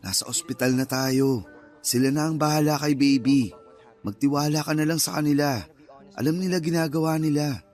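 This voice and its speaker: An actor playing a calm, reassuring young father and nurse who explains patiently, in a radio drama. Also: fairly high pitch, moderate pace, faint music behind, close to an everyday voice.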